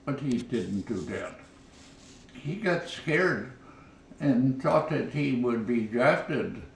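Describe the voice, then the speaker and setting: Slow, halting man in his nineties. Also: medium pitch, gravelly, a little mellow, roomy sound, far from the mic, a little hiss or hum, rough recording. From Minnesota, USA. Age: 90s. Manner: halting, repeats words, chuckles. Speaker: interviewee. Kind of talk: oral history reminiscing. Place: living room at home.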